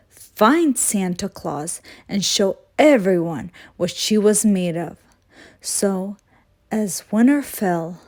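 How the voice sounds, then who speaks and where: A woman reading a storybook aloud for children with deliberate, dramatic phrasing. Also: medium pitch, darkish tone. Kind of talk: expressive storybook read-aloud.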